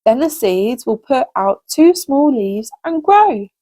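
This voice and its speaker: A woman's voice: high-pitched, animated, slow pace, dark tone, no echo, full character voice. A woman voicing a big, helpful male thrush who explains things matter-of-factly in a children's storybook. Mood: pleased, instructive. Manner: matter-of-fact, self-assured.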